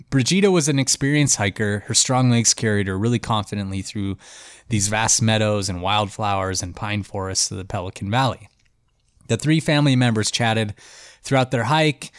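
A congested man with a fairly low voice tells a story casually over a podcast mic. He talks in a loose, chatty way with plenty of "like" and "um". The recording is dry and close, with no room sound. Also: dry recording. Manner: loose and chatty, with many "like" and "um" fillers.

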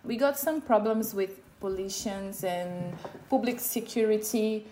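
A woman's voice: medium pitch, measured pace, background noise. A woman in her thirties from Brazil, with a Brazilian accent, telling her personal story in a casual way as an interviewee. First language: Portuguese. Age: 30s. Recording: music playing behind the voice. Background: background music.

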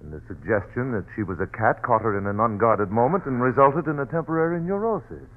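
An actor playing a steady, reassuring doctor in a vintage radio drama. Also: male voice, mid-range voice, fast, natural voice. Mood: soothing. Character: calm, soothing family doctor. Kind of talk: 1940s radio acting. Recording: tinny old radio recording.

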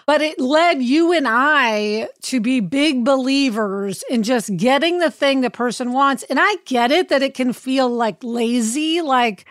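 Raspy-voiced woman chatting on a podcast. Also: high voice, measured pace, few pauses, no room sound, studio quality. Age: adult with a child. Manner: chatty, acts out quoted dialogue. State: raspy voice from illness. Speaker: podcast co-host. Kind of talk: casual chat.

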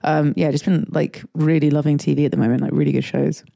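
A woman's voice: low voice, level tone, fast, gravelly, dark tone, dry recording, clean studio sound. A British woman co-hosting a podcast, chatting casually, laughing often and speaking bluntly. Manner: giggly and blunt. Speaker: podcast co-host. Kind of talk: casual chat.

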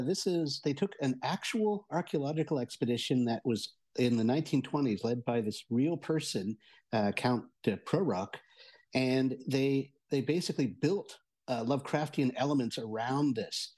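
Man, mellow soft-edged timbre, mid pitch, casual reviewer, halting, repetitive phrasing. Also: husky. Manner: halting, hesitant, repeats words. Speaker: audio entertainment and tech contributor.

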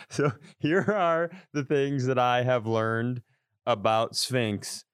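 A man chatting on a podcast with a smooth, resonant voice, heard up close and dry with no room sound. He tells wry, exaggerated stories and swears freely. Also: medium pitch, steady tone, slow, dry recording. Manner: wry, exaggerated storytelling with profanity. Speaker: podcast co-host. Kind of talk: casual comedic chat.

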